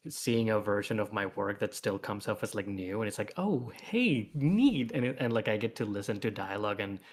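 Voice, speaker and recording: A man's voice: lowish voice, level tone, fairly quick. A man chatting casually as a guest comic creator, rambling along in an earnest, self-effacing way. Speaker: guest comic author and artist. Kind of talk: casual livestream chat.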